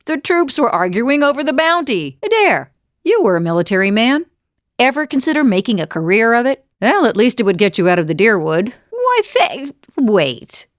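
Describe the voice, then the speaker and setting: A woman's voice: on the high side, no room sound, studio quality. A woman playing a weathered, good-humored mercenary in a video game, speaking in a wry, plainspoken, reflective way. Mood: teasing, animated. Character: weathered, world-weary but good-humored female mercenary seeking forgiveness. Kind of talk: scripted video game character dialogue. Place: dry studio voice recording.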